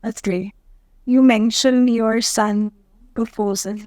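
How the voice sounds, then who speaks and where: A woman hosting an interview, asking her questions in a halting way with restarts. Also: a little high, fairly even intonation, a little mellow, no room sound. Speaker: host.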